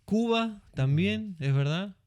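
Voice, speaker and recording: A man's voice: high-pitched, expressive, slow, a little mellow, no room sound. A man with a Uruguayan Spanish accent hosting a podcast in a studio, talking in a chatty, friendly way with many asides. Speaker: podcast host. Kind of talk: casual chat.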